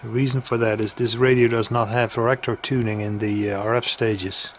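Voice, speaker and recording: A man giving a casual, hesitant product demonstration with halting pauses. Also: on the low side, level tone, slight background noise. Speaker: radio equipment reviewer.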